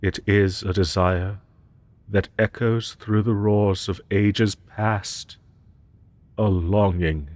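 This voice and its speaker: A man's voice: sits low, full character voice. A man voicing a haughty, grandiose but tender dragon professor in a scripted fantasy roleplay, speaking in archaic, pompous, formal phrases.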